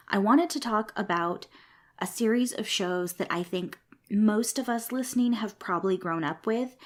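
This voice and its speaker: A woman with a slightly low voice hosts her own podcast, explaining things in a chatty, friendly way and repeating points for emphasis. A cold leaves her a bit snuffly and congested. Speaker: podcast host. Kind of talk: casual explanatory podcast monologue. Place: a small home recording room.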